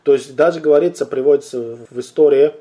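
Mellow-voiced man teaching a religious lesson. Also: mid-range voice. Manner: deliberate, slows down to stress key points, asks and answers own questions; addresses listeners as brothers.

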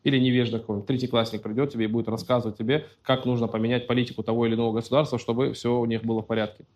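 A man's voice: mid-range voice, little pitch movement, racing, a little mellow. A man giving a religious lecture, reading out Arabic passages and then explaining them plainly in his own words. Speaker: religious teacher.

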